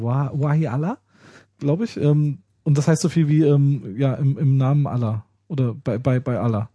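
A man with a mellow, slightly husky voice talks shop with friends on a podcast. His delivery is loose and joking, full of restarts and fillers, and it sounds close and dry. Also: mid-range voice, no room sound. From Berlin. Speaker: podcast co-host. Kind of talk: casual tech chat.

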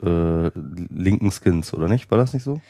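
Male podcast host with a cold, low-ish and mellow, teasing, rambling delivery, dry close sound. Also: animated, normal speed, vocal fry, dry recording. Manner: rambling, many fillers, teasing. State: has a cold, hoarse from coughing. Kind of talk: casual podcast chat.

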